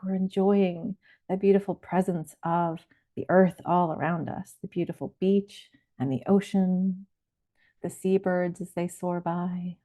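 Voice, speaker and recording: The recording is narrow and phone-like. A woman speaks at a medium pitch, guiding a relaxation visualization. Her phrasing is soothing and unhurried, as a meditation leader would speak. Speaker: laughter yoga leader, meditation guide. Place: live online or group session recording. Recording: band-limited, phone-like sound.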